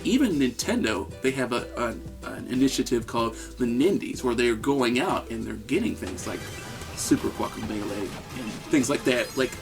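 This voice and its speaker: Male podcast co-host, gravelly, eager and jokey, faint music behind. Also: mid-range voice, lively intonation, moderate pace. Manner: enthusiastic, laughs often, jokey asides. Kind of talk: casual chat about video games.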